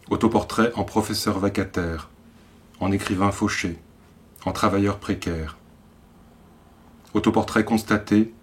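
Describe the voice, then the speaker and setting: A man with an accent from France reads literary poetry in a measured way, in short phrases set apart by deliberate pauses. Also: lowish voice. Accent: French from France. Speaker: poet reading own work.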